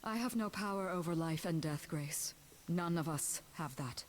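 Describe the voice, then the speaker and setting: An imperious ancient goddess in a dramatic video game, voiced by a woman, speaks in a lowish female voice. Her manner is formal, cold and portentous, with some background noise in the recording. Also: moderate pace, lightly acted. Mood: cold dismissal.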